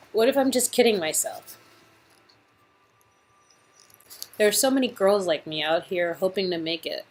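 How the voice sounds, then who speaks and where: A woman acts out a young small-town dreamer chasing an acting career in an interactive story game. Her voice sits at a medium pitch, and she is sincere, teasing and openly hopeful in a natural, everyday delivery. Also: lightly acted. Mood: wistful, doubtful. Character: starry-eyed young aspiring actress from Iowa, earnest and teasing. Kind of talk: dramatic reading of visual novel game dialogue.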